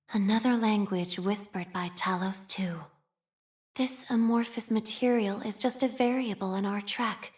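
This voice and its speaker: An actress voicing a soft-spoken, devout young woman scholar of a religious order in a video game, speaking formally and solemnly. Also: fairly high pitch. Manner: formal, solemn, measured, reverent. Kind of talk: scripted video game character voice lines.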